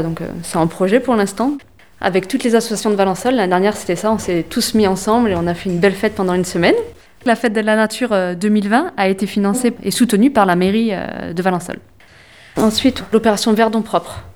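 This is a young woman answering interview questions for a local environmental group. She hesitates often and fills gaps with "euh", and she slows right down to spell names letter by letter. Her pitch sits in an ordinary middle range. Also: a little mellow. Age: young adult. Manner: hesitant, many fillers, spells words out slowly. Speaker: environmental association volunteer.

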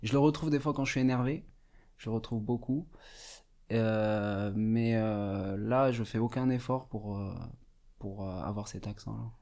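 A man's voice: on the low side, steady tone, a little slow, warm tone. A young man from Morocco whose first language is Arabic, speaking as a radio guest in a casual, hesitant, thoughtful way with many fillers and restarts. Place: radio studio.

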